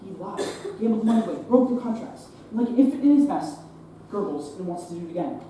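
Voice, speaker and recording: A young actress playing a stern, blunt hospital chief. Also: female voice, mid-range voice, expressive, fast, lightly acted. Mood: stern, lecturing. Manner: lecturing, forceful, clipped. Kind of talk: stage play acting. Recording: echoey stage from far off, noisy.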